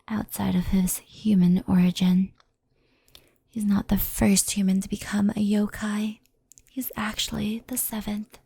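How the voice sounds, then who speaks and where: A woman voicing a stern, composed fox-spirit in an ASMR roleplay, speaking softly in a measured, formal, admonishing way. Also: mid-range voice, no echo, heavily acted. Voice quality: breathy, soft. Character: stern, composed female fox-spirit yokai, cautious and scolding.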